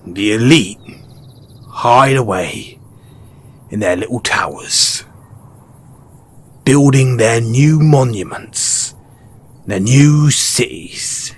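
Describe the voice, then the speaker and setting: A man's voice: medium pitch, slightly rough. A man playing a mysterious, wry and cynical wasteland merchant in a post-apocalyptic audio roleplay, speaking colloquially and knowingly straight to the listener. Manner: unhurried, colloquial, knowing, with pointed pauses.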